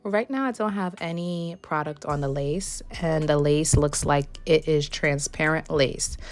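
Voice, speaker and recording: A young woman with a low voice that is still a little hoarse narrates a beauty vlog. She explains each step of a wig install in a relaxed, friendly way. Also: a little slow, soft background music. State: hoarse, recovering from losing her voice. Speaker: beauty vlogger reviewing a wig. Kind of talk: product review voice-over.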